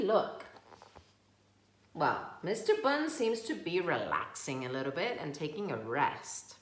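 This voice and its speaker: Slow, animated woman reading aloud. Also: fairly low pitch. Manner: slow, expressive, asks the listener questions. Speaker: parent educator reading a picture book aloud. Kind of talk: read-aloud to children. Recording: echoey room.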